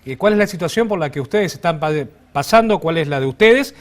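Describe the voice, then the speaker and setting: A man's voice: high-pitched, expressive, a little fast. An Argentine man co-hosting an interview, framing his questions conversationally. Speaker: co-host.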